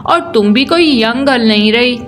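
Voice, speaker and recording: A woman's voice: fairly high pitch, animated, normal speed, fairly bright tone. A woman voicing a frank, exasperated best friend in her twenties in a drama recap, in a matter-of-fact way.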